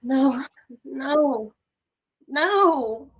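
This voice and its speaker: A woman playing a frightened, distraught young psychiatric patient in a dramatic read, halting and stammering as she pleads. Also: on the high side, expressive, a bit bright, muffled recording, full character voice. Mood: upset denial. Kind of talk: dramatic table read of a screenplay.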